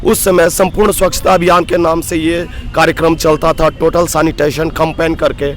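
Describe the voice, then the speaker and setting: A hoarse man in his thirties, a block coordinator at a roadside protest, speaking earnestly and with rising grievance in a street interview. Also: fairly high pitch, little pitch movement, moderate pace, soft background music. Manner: aggrieved, earnest, builds to emphatic outbursts. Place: roadside protest sit-in outdoors.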